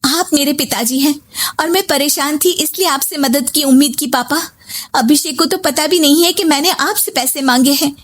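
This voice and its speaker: A woman's voice: sits high, normal speed, fairly bright tone, voice pushed up. A woman voicing a dutiful, worried young married woman in a story, earnest and respectful. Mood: hurt. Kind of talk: story voice acting.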